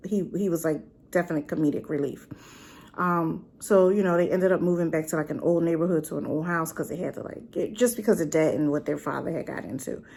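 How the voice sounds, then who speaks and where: A woman casually reviewing a drama in a chatty, rambling way, full of fillers and asides. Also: on the low side. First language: not Korean. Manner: animated, with rhetorical questions.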